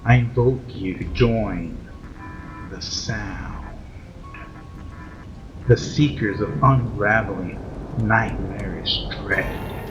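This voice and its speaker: A man hosting a horror story series, narrating a script in a theatrical, ominous, deliberate manner as a sinister keeper of shadows. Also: lowish voice, highs cut off, music in the background. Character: sinister keeper of shadows addressing his followers.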